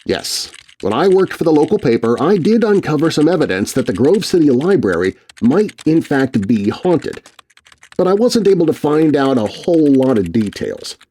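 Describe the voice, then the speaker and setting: Lively-voiced man narrating a spooky tale. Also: a little high, moderate pace. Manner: expressive reading of letters aloud. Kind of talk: scripted storytelling narration.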